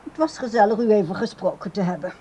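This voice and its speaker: A controlling grown woman, speaks at a medium pitch. Her delivery is brisk and formally polite, with a placating, evasive manner in the style of a radio play. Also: animated, dark tone, breathy edge. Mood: polite, dismissive. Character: polite but evasive, controlling adult daughter. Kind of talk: radio drama acting.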